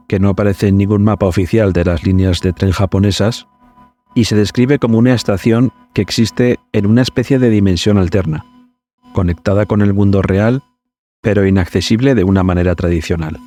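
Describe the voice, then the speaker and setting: A man with a fairly low, very mellow voice narrates from a script. His delivery is measured and explanatory, like a documentary. Soft music plays behind him.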